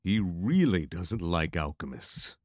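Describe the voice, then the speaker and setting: A man's voice: low-pitched, sing-song, slow, darkish tone, no room sound, clean studio sound, heavily acted. A man playing an anxious, doubtful young adventurer with a hesitant, worried manner. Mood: uneasy.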